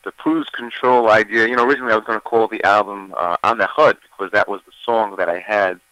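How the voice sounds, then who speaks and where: A man, a singer, talking casually about his album in an interview, with a deep voice and plenty of fillers and restarts. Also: fast talker, dry recording, rough recording. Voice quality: deeper voice. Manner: relaxed, many fillers and restarts.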